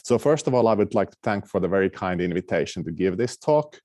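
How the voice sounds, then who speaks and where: A man's voice: low voice, animated, quick pace, mellow, dry recording, studio quality. A middle-aged man from Finland with a Finnish accent, giving an academic lecture over a video call, hesitant with many fillers and restarts. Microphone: video call microphone.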